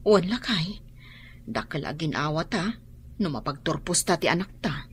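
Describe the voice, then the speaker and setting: A woman's voice: sits low, animated, moderate pace, a little hiss or hum. A woman playing a plain-spoken, feisty middle-aged country wife in an Ilocano radio drama, with an Ilocano Filipino accent. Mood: gentle.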